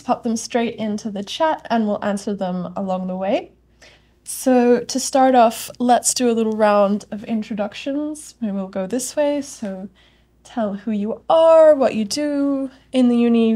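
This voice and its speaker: Young woman host, chatty and bright in manner, slightly high voice, casual studio panel. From Australia. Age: young adult. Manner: upbeat, chatty moderator. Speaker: host, student ambassador. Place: studio with curtains and plants. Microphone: lapel mic.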